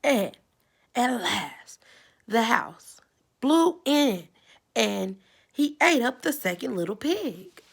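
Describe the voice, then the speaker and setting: A woman's voice: a little high, sing-song, slow pace, gravelly, long pauses, husky. A woman reading a children's story aloud, adding simple instructive explanations as she goes. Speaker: storybook reader.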